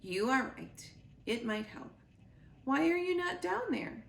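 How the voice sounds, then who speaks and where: Woman as a measured, formal robot mother. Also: high-pitched, gravelly, pitched above the performer's own voice, full character voice. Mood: gentle, measured. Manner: measured, formal, even delivery without contractions. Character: female robot mother, calm, formal, patient, protective. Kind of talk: children's storybook read-aloud. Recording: roomy echo, noticeable background noise.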